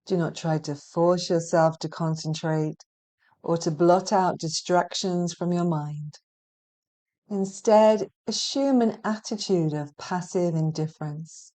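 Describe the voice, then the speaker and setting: Very mellow, slow-speaking woman guiding relaxation. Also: lowish voice. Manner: soothing, gentle, measured with long pauses. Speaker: hypnotherapy practitioner guiding meditation. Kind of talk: guided relaxation meditation, hypnotic scripted narration.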